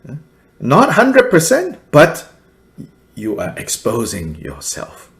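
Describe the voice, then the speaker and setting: A middle-aged man from Malaysia giving a Buddhist teaching, halting and full of fillers, with soft laughs between points. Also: medium pitch, wildly swooping pitch. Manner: slow, halting, many fillers, laughs softly. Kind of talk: dharma teaching commentary.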